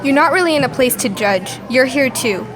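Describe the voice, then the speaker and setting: Woman acting a defensive young prisoner, highish voice. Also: expressive, normal speed, music in the background. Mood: bleak. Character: defensive young female criminal with strict parents. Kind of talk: amateur radio play acting.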